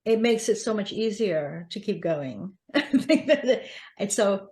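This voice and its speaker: A woman's voice: medium pitch, animated, measured pace, darkish tone. Encouraging woman podcast co-host. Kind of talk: podcast interview.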